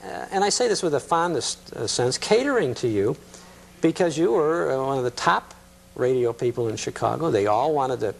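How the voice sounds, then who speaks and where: A man with a creaky, gravelly edge to a medium-pitched voice hosts a talk show. He interviews conversationally, often restarting and rewording as he goes. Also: lively intonation, normal speed.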